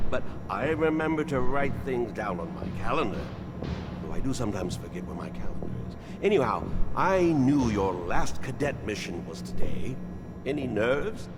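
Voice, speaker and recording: An actor playing a kindly, absent-minded older medic in a video game, fussy and rambling with quaint turns of phrase. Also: male voice, medium pitch, big pitch swings, normal speed, loud music behind the voice. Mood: cheerful, fond. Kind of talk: scripted video game dialogue.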